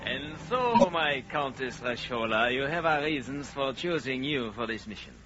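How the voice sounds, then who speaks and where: An actor playing a high-ranking spymaster in a 1930s radio drama, formal, deliberate and commanding, on a dull, noisy old recording. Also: male voice, expressive, over loud music, close to an everyday voice. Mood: commanding, businesslike. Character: authoritative, secretive male spymaster of high rank.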